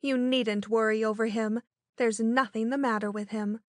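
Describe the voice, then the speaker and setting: A woman voicing a scornful, sensible young girl who speaks deliberately. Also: fairly high pitch, fairly even intonation, dry recording, clean studio sound, voice pushed up, close to an everyday voice.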